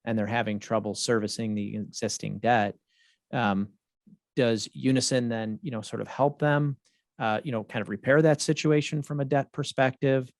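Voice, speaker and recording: A man hosts a podcast in a measured, steady way, with a voice of ordinary middle pitch. The sound is dry and close, with no room echo. He reads intros and puts questions to a guest at an even pace. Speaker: podcast host. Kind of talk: podcast hosting.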